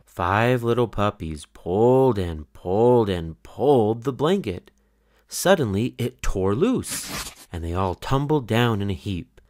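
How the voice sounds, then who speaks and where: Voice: mid-range voice, lively intonation, slow pace, a little mellow. A narrator reading a children's picture book aloud in a scripted storytelling style.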